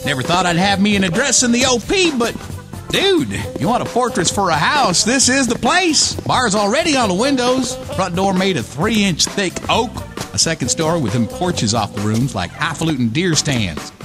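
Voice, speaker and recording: A man's voice: sits high, big pitch swings, moderate pace, over music. An actor playing a cocky, whiskey-drinking American redneck survivor, telling his story in folksy slang in a radio play. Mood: folksy, wry. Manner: folksy, slangy drawling wisecracks. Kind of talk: radio play monologue narration.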